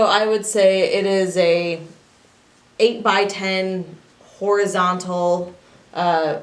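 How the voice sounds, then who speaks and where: A woman giving an informal, practical instructional walkthrough in a plain way. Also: lowish voice. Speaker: 4-H youth assistant giving a staff training. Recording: echoey room, distant mic, faint noise.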